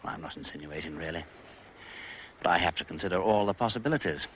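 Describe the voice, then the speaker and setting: An actor playing an urbane, playful gentleman detective with an upper-class English accent, breezy and courteous in a British detective drama. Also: low voice, quick pace, slight background noise. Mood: probing.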